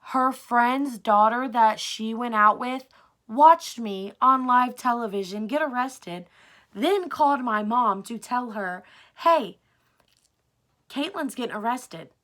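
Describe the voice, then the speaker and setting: A woman's voice: fairly high pitch, takes it slowly, fairly bright tone. A young adult woman vlogger telling a personal story in a casual, chatty, slangy way, with swearing and acted-out dialogue. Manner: informal slangy talk with frequent swearing, acts out dialogue.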